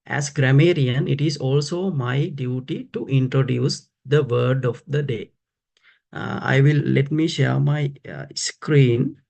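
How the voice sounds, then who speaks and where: Man with a gravelly voice, slow and deliberate with many fillers, presenting the grammarian role. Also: medium pitch, a little mellow. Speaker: grammarian at a club meeting. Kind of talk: prepared role introduction.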